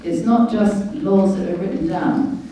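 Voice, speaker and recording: A woman's voice: medium pitch, a little slow, warm tone, a little hiss or hum. A woman giving an impassioned, emphatic public talk on legal matters, speaking as a lawyer at a meeting. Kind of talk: impassioned public speech explaining law. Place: indoor public meeting venue. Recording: echoey room, distant microphone.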